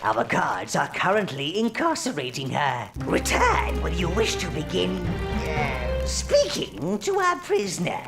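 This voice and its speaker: An actor playing a sly, darkly gleeful old minion advisor in a game cutscene, with a gravelly, creaky voice and a wheedling manner. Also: male voice, fairly high pitch, huge pitch swings, measured pace, exaggerated voice. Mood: scheming. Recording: voice over loud game music.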